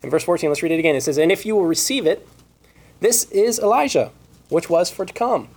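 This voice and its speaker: A man leads a scripture study in a sermon, his voice sitting a little high for a man. He reads verses aloud and poses rhetorical questions. His voice is wearing thin and turning hoarse. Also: animated, brisk, a little mellow. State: losing his voice. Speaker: preacher.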